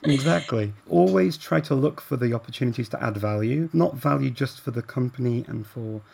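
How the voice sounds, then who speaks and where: A man, a guest telling stories in a relaxed conversation, getting animated during anecdotes. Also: medium pitch, brisk, vocal fry, slightly rough. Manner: animated when telling anecdotes, laughs while talking. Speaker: guest, language learner. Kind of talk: conversational storytelling.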